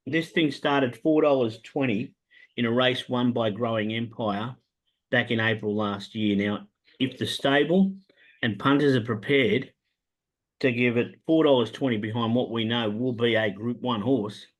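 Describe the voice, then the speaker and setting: An Australian man hosts a laid-back racing chat and talks up his tips like a salesman. His pitch sits mid-range, and he stumbles through plenty of "um"s as he goes. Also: unhurried, low-quality recording. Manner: animated salesman pitch, many "um" fillers. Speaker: racing tipster host. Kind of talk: casual podcast chat about horse racing.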